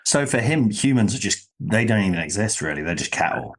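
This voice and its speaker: Low-voiced, rambling man hosting a podcast. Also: expressive, a little fast, fairly bright tone. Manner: rambling, thinking aloud, self-questioning. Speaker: podcast host. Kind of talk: casual comic-book discussion.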